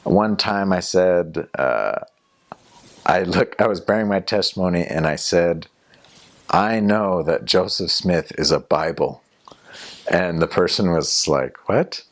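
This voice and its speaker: A man's voice: deep voice, slow. A young man, a returned missionary, telling stories from his mission in a casual interview, rambling a little with fillers and restarts. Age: young adult.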